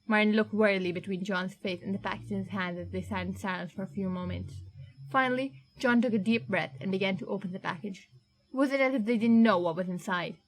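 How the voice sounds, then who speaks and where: A woman reading fan fiction aloud as a podfic in steady, even narration. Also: mid-range voice, fairly quick, low-quality recording. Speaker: podfic reader.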